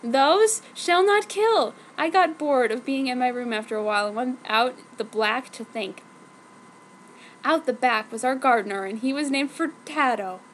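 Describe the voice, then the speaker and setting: A woman's voice: a little high, moderate pace, a bit bright. A woman narrating fan fiction in a theatrical, mock-dramatic reading style. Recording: clearly noisy home recording.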